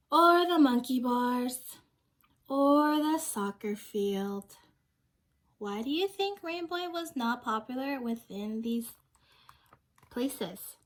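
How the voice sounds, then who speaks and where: Slow, high-pitched young woman reading to children. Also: a bit bright. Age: young adult. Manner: simple explanatory asides, prompting young listeners. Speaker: intern reading a picture book aloud to children. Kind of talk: children's read-aloud with questions to the listeners.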